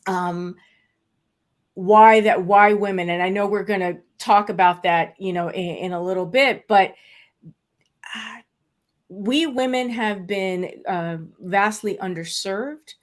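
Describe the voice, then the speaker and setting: Middle-aged woman, native Spanish speaker; earnest, reflective interview guest, medium pitch, frequent fillers. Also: slow. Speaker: estate planning attorney, guest. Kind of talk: conversational interview answers.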